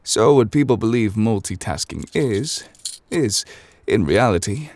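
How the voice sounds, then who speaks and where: A man playing an ancient, courteous, absent-minded god in an ASMR roleplay, speaking formally in a low, gravelly voice with hesitant pauses. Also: expressive, a little mellow. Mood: sheepish, explanatory. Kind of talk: ASMR audio roleplay, improvised in-character monologue. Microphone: close, dry microphone.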